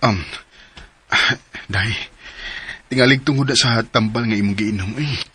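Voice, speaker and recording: An actor playing a devoted, worried young man in love in a Philippine radio drama, earnest and halting, with a Cebuano Filipino accent. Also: fairly low pitch, fairly bright tone, breathy edge, exaggerated voice. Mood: puzzled, concerned.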